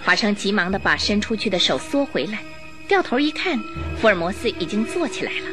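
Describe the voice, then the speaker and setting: A woman narrates a radio drama with dramatic, measured storytelling. Her mid-pitched voice is animated and varied in melody. Music plays clearly behind her. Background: music under the voice.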